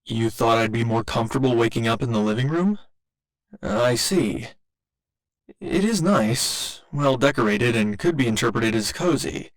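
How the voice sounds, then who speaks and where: A man's voice: mid-range voice, lively intonation, moderate pace, close to an everyday voice, poor audio. A man voicing a polite, earnest robot boyfriend in an ASMR roleplay, speaking in formal, precise and naively literal language. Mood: curious, polite. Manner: formal, precise, careful wording with occasional glitchy stutters.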